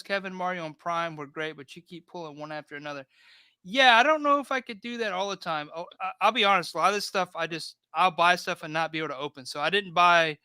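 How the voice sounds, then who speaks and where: Guy in his thirties, kinda high voice, chill rambly livestream talk. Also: sharp tone, clear, resonant tone, no room sound. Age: 30s. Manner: laid-back, rambling, reads chat aloud. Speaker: host of a diorama livestream. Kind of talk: casual livestream chat.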